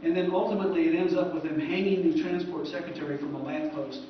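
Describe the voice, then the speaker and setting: Male history presenter, slightly high voice, halting informal lecture, distant mic in reverberant room. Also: steady tone. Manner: halting, rambling delivery. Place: convention presentation room indoors. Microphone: distant recorder in the room. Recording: very echoey, distant, dull, noisy room.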